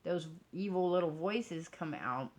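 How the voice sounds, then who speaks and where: A woman's voice: fairly low pitch, expressive, a little slow, darkish tone. An American woman chatting casually in a rambling, self-deprecating vlog recorded indoors. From United States. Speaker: vlogger and crafter. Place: indoors at a craft table. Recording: noisy home recording.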